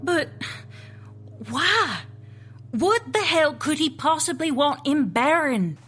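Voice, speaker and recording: An actress playing a weary, skeptical female FBI agent in an audio drama, speaking haltingly and with open feeling. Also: sits high, sing-song, fairly bright tone, soft background music, full character voice. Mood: skeptical, exasperated.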